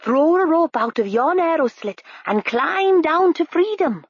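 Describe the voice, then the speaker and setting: A woman's voice: sits high, animated, a little slow, dry recording, pitched above the performer's own voice. A woman voicing a wise, friendly raven who speaks urgently in old-fashioned, formal words. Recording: slightly dull, dry recording.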